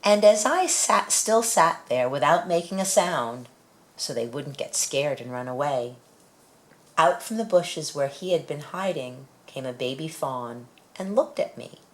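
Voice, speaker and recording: Low-voiced woman reading a children's storybook. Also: expressive, measured pace. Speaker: storybook reader. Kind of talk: children's picture book read-aloud.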